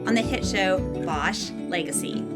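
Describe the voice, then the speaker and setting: A woman's voice: medium pitch, measured pace. A woman hosting a podcast, reading a scripted introduction. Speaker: podcast host introducing a reader. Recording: loud guitar music under the voice. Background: plucked guitar music.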